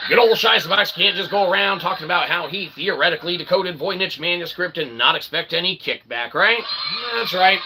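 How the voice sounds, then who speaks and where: Male comedic host, piercingly bright and tense, theatrical tongue-in-cheek monologue, some background noise. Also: high-pitched, poor audio. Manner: theatrical mock showman, tongue-in-cheek asides. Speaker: host of a comic philosophy show.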